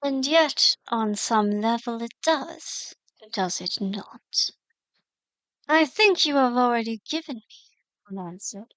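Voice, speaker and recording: A woman acting as a gentle, ancient mystic guide in a fantasy audio drama, solemn and coaxing in a hushed, deliberate delivery. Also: a little high, lively intonation, no room sound, poor audio. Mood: calm, probing.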